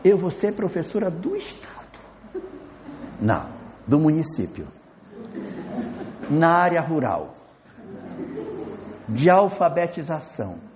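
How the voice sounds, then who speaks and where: A man acting out a self-pitying woman teacher who complains that she is always hoarse and ill, with his voice ringing in a reverberant hall. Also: a little high, big pitch swings, slow pace, pauses often, a little mellow, a little hiss or hum. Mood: insistent.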